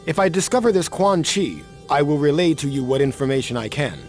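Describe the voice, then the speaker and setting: Higher-voiced, earnest warrior champion played by a man. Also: close to an everyday voice. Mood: earnest, composed. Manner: measured, polite, formal. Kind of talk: video game cutscene acting. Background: music.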